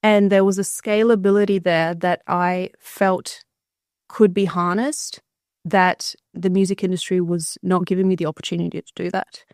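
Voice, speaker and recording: Female podcast guest, crisp resonant voice, articulate conversational storytelling, close dry sound. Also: lowish voice, flat delivery, normal speed, darkish tone, no echo. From Australia, born in Bulgaria.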